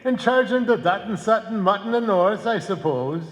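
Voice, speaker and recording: An actor playing a wry, obliging tradesman taking orders, with drawn-out delivery, in a live old-time radio comedy. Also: high-pitched, expressive. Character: wry male print-shop tradesman taking phone orders. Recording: live hall recording, long reverb, music.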